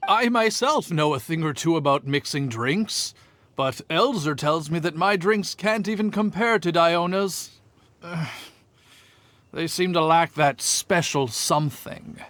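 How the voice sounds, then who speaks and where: An actor playing a polite, friendly, modest gentleman winemaker in a video game, speaking in a courteous, earnest, slightly hesitant way. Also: fairly high pitch, unhurried, no echo. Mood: friendly, musing. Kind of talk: scripted video game character acting. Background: background music.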